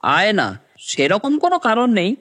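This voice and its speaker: A man's voice: high voice, wildly swooping pitch, a little slow, a bit bright, slightly rough, full character voice. A man playing a dejected, jobless young son who narrates his own cartoon story. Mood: coaxing. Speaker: narrator and lead character. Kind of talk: first-person dramatic story narration. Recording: music bed under voice.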